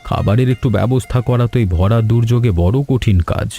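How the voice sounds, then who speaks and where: A man's voice: mid-range voice, fast talker, vocal fry, warm tone, faint music behind, natural voice. A man playing a troubled, grateful village headman and elder in a scripted cartoon.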